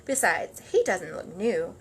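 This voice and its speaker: A woman's voice: lowish voice, expressive, measured pace, creaky, slight background noise. A woman voicing a reluctant, weary mother in a storybook, sighing as she refuses. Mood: weary, dismissive. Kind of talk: storybook character voice.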